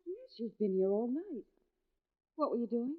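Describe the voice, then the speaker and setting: An actress playing an anxious, devoted wife in a 1940s radio suspense drama, speaking in a dramatic, deliberate manner. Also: female voice, on the high side, sing-song. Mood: worried. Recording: vintage radio, phone-like band, music behind.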